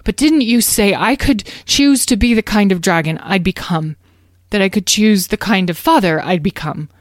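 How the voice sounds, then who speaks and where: A woman's voice: medium pitch, moderate pace, no room sound, studio quality, pitched above the performer's own voice, natural voice. A woman voicing an earnest young male dragon, a loyal son in a storybook, hesitant and pleading.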